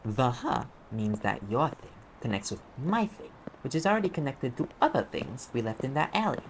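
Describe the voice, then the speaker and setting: A man's voice: medium pitch, very animated, moderate pace, slight background noise, rough recording. A man playing a sarcastic, streetwise demon private investigator in an audio roleplay, talking in an offhand, sardonic way. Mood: wry, brooding. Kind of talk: conversational audio roleplay acting.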